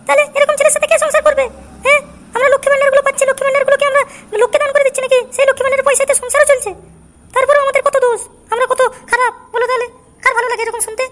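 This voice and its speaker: Squeaky, monotone aggrieved cartoon housewife. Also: male voice, racing. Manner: indignant, plaintive. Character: aggrieved young housewife complaining about her husband. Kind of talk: amateur comedy cartoon voice acting.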